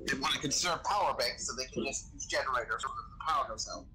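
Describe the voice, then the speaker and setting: A man's voice: mid-range voice, fast talker, bright, forceful tone, poor audio. A man playing a chatty, sweary sci-fi crewman who knows his weapons, improvising casually in a game roleplay. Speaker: Star Wars roleplay player. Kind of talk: improvised in-character gaming chat. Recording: gaming voice chat with background noise.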